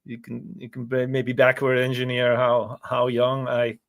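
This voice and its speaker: A man's voice: medium pitch, monotone, a little slow. A middle-aged man from Switzerland, a business professor, answering in a thoughtful, modest way with hesitant restarts. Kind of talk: podcast interview.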